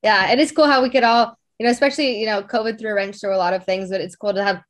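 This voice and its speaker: A woman speaks as a guest in a casual interview. Her voice sits at a medium pitch. She talks effusively, with loose, conversational phrasing. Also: steady tone, racing. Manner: effusive, peppers speech with like and you know.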